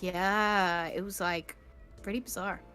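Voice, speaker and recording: A woman's voice: lowish voice, fairly even intonation, takes it slowly, bright, forceful tone, clear, resonant tone, faint music behind. A young British woman streaming, chatting casually and playfully, reading out viewer comments with frequent laughs. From Britain. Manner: playful, giggly, reads chat aloud. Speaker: vtuber streamer.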